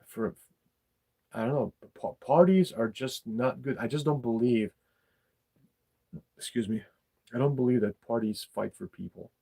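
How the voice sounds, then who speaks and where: A middle-aged man, talking casually and bluntly about his opinions, halting and repeating his words. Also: mid-range voice, creaky, mellow, breathy edge. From former Yugoslavia. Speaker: podcast host.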